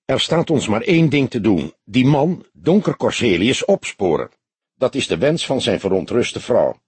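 A man's voice: medium pitch, very animated, normal speed, a little mellow, husky, no echo. A man voicing a grizzled older detective in an audiobook, dry and stubborn, with a laconic, mocking manner. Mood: laconic, firm. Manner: laconic, sardonic. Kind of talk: audiobook character voice.

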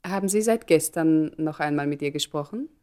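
A woman's voice: low voice, brisk, a little mellow, natural voice. An actress in a German radio play voicing a shrewd, poised society woman with a polite, knowing irony. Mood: knowing, ironic.

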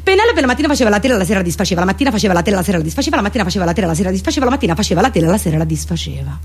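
A woman's voice: medium pitch, big pitch swings, very fast, slightly rough. A woman with a Roman Italian accent performs a theatrical comic monologue, ranting and circling obsessively back over her phrases.

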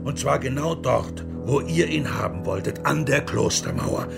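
An actor playing a defiant religious reformer in a German radio play, biting, sarcastic and deliberate. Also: male voice, medium pitch, animated, creaky, music in the background. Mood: sardonic, pressing. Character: defiant, sardonic religious reformer confronting his accuser.

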